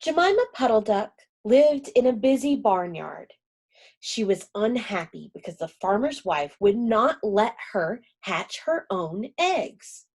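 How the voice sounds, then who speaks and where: A woman reading a children's storybook aloud, gentle and expressive. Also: mid-range voice, slightly rough.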